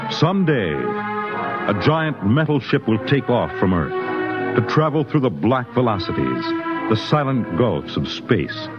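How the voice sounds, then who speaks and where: A male announcer narrates in grand 1950s radio style. He is very slow and deliberate, with dramatic sweeps of pitch. Loud music plays behind him in a dull old broadcast sound. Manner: portentous, theatrical, deliberate.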